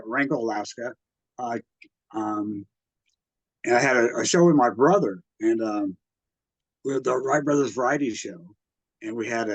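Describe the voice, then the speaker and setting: An American man in his sixties reminiscing casually in an interview, with a halting delivery that often backtracks. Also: mid-range voice, no echo, rough recording. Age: 60s. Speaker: radio collector and museum exhibitor, interviewee.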